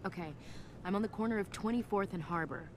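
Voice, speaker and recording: An actress playing an earnest, regretful paramedic and loyal friend in a video game. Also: female voice, mid-range voice, quick pace, very noisy, lightly acted. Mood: resolute, apologetic. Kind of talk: dramatic video game voice acting.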